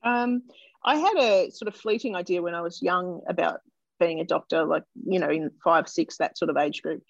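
A gravelly-voiced Australian woman talks through her answers slowly and thoughtfully, pausing and restarting as she gathers her words. She sounds like she's on a dull, lo-fi remote line. The room around her is dry and close. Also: fairly low pitch, dry recording. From Australia (Melbourne). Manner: thoughtful, hesitant, lots of fillers and restarts. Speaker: doctor and interview guest. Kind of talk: casual interview answers.

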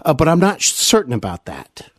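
A man's voice: fairly high pitch, huge pitch swings, fairly quick, no room sound, studio quality. A man hosting a podcast interview conversationally, polite, agreeable and a little tentative.

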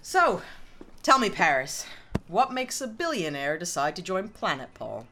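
A woman's voice: fairly low pitch, very animated, unhurried, bright, forceful tone, a little hiss or hum, exaggerated voice. A woman playing a brash, jokey, chummy squad boss in a science fiction audio drama. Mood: cocky, upbeat. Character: cocky, gregarious female squad boss in space. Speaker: sergeant.

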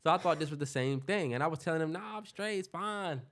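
A young man co-hosting a podcast, his voice congested. He tells his stories in an animated, joking way, swearing freely. His pitch sits slightly high for a man. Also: quick pace. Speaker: podcast co-host. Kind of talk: casual storytelling chat.

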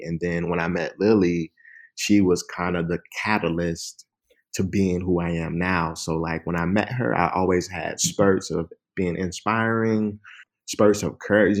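Deep-voiced earnest man, life coach. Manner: earnest, inspirational, many fillers. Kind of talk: interview guest, casual talk.

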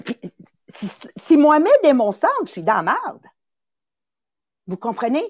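A woman's voice: on the high side, unhurried, no room sound, studio quality. A woman around sixty with a Quebec French accent, born in Italy, hosting a motivational talk in an emphatic, repetitive way. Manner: animated and emphatic, repeats phrases, acts out little voices. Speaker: podcast host and sales coach.

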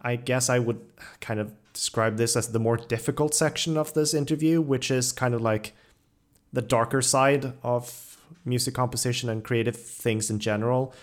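Male interviewer, mid-range voice, conversational and supportive, with halting phrasing. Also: medium pitch, steady tone. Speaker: podcast host.

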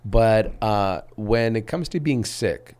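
Raspy-voiced man, rambling podcast chat. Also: lowish voice, expressive, takes it slowly, darkish tone. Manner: rambling, frequent restarts and fillers. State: raspy voice after illness. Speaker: podcast host. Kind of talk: casual chat.

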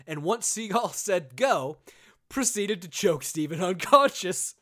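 Male podcast host, fairly high and very animated delivery, sarcastic and profane. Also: unhurried. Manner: sarcastic, swears, many fillers. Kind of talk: casual comedic podcast chat.

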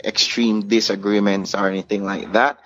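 A man's voice: on the low side, flat delivery, normal speed, a bit bright. A man, a DJ guest telling casual anecdotes in an interview and acting out the dialogue. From Caribbean. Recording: muffled, clearly noisy room recording.

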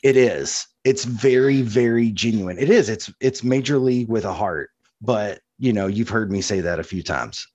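A man's voice: lowish voice, normal speed, few pauses, a little mellow, no echo, highs cut off. A man co-hosting a podcast, talking casually and conversationally. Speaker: podcast co-host. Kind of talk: casual chat reviewing media.

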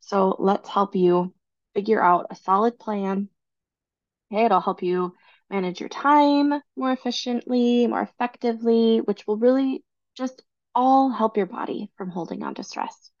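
A woman's voice: a little high, measured pace, dull audio. A woman in her thirties hosting a casual podcast, chatty and enthusiastic, her voice still recovering from laryngitis. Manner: chatty, enthusiastic about organizing. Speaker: podcast host. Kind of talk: casual solo podcast talk.

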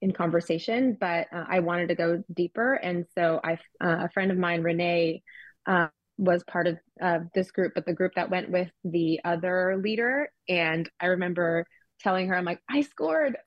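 A woman's voice: fairly low pitch, moderate pace, no room sound, highs cut off. A woman telling a casual personal story in a chatty way, often restarting mid-thought, recorded over an online call. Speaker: group member sharing her experience.

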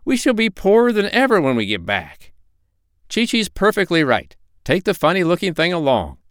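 A man's voice: high-pitched, huge pitch swings, normal speed, fairly bright tone, dry recording, studio quality, voice pushed up, full character voice. A man voicing a bossy, practical female duck in a storybook, nagging and exclamatory. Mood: scolding.

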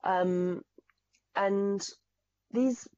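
A woman's voice: mid-range voice, very slow, a little mellow, clean tone, dull audio. A woman from Zimbabwe with a Zimbabwean accent, a podcast guest explaining things in an earnest, fact-heavy way with frequent restarts. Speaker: podcast guest, fraud specialist. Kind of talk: explanatory interview talk.

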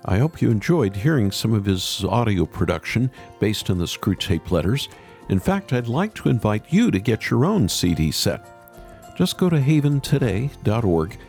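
A man's voice: lowish voice, vocal fry, a little mellow. A man hosting a podcast, interviewing and addressing listeners in a conversational style. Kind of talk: conversational interviewing and show sign-off.

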